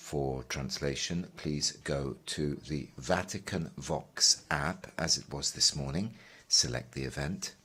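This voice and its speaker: A man working as a simultaneous interpreter, relaying a panel talk in a measured way, pausing with fillers as he keeps up. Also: a bass voice, bright, forceful tone, breathy edge. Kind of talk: live conference interpretation.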